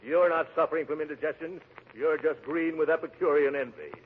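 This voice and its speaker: A man's voice: a little high, fairly even intonation, fairly quick, natural voice. An actor playing a pompous, jovial, gluttonous big man in a blustery, chuckling 1940s radio comedy style. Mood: indignant. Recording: noisy tinny 1940s radio broadcast.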